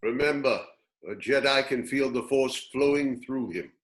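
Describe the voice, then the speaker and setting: A man's voice: medium pitch, normal speed, close to an everyday voice. A man playing a grave, wise old mentor, solemn and instructive, in a scripted reading. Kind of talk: scripted table read acting.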